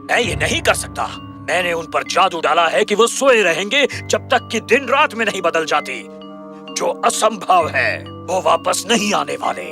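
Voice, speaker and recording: A man voicing a menacing sorcerer in a fairy tale, with slow, theatrical, incantatory delivery. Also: high voice, lively intonation, music in the background. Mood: alarmed, scolding. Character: menacing male sorcerer casting wicked curses. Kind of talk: audio fairy tale voice acting.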